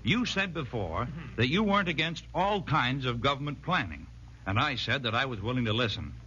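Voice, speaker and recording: A muffled vintage radio broadcast. A direct American citizen objects in a sharp, animated mid-range voice. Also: male voice, medium pitch, fairly quick, slightly rough, exaggerated voice. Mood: objecting. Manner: challenging, direct. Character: forceful, fair-minded objecting American citizen. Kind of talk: 1940s radio drama acting.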